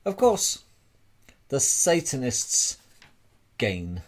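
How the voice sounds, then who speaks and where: A man's voice: medium pitch, sing-song, very slow. A man giving an informal, emphatic explanatory monologue as an amateur presenter, repeating phrases and posing rhetorical questions.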